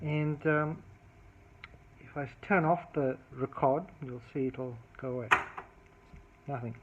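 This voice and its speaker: A man's voice: medium pitch, steady tone, slow pace. Thin phone-like sound, man explaining electronics. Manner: methodical, thinking aloud. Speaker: electronics repair hobbyist demonstrating a fix. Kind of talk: hands-on technical demonstration, unscripted explaining.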